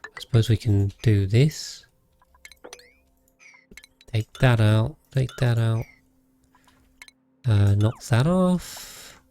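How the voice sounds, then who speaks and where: A British man with a calm, measured voice, giving casual game commentary and chatting with viewers as he streams. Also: lowish voice, a little hiss or hum. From Britain. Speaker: live streamer, host.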